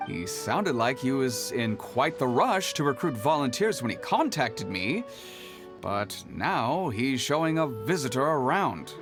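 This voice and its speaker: A man's voice: mid-range voice, big pitch swings, unhurried, music in the background. An actor voicing a helpful, talkative male clerk who explains procedures. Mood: businesslike. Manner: businesslike, explaining at length.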